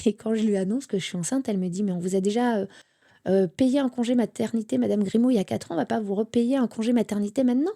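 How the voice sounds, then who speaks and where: Mellow woman telling her story. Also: a little high, quick pace. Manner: animated storytelling with quoted dialogue. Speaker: interview guest. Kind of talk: candid personal story.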